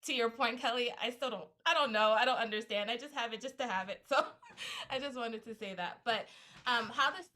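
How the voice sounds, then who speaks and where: A woman's voice: on the high side, fairly quick. A woman from the United States speaking as a panelist in an online discussion. She laughs easily and pokes fun at herself. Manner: warm, self-deprecating, laughs easily.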